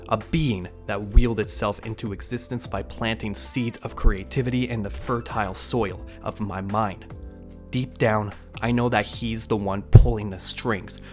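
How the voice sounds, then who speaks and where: A man hosting an online video, giving scripted breakdown narration with casual touches. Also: lowish voice. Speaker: YouTube host and narrator. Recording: voice-over with music underneath. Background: music.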